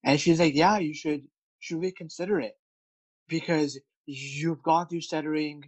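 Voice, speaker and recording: A man's voice: fairly high pitch, fairly even intonation, moderate pace, no room sound, poor audio. A man who stutters, with repeated words and many fillers, speaking candidly as a guest about his work as a speech coach. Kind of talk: candid interview conversation.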